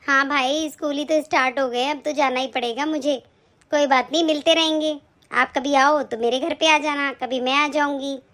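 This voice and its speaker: A woman voicing a gentle, conciliatory young married sister in a family skit. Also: high voice, fairly even intonation, moderate pace. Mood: friendly. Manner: soft, conciliatory.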